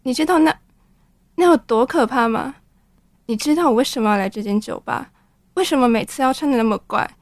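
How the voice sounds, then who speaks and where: A woman plays a wounded teenage schoolgirl in a modern radio drama. Her voice is slightly high, and she sounds guarded about herself. The recording is dry, with no room around it. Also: a little fast, no echo, natural voice. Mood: anguished. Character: guarded, wounded teenage schoolgirl who writes odd novels. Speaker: actress.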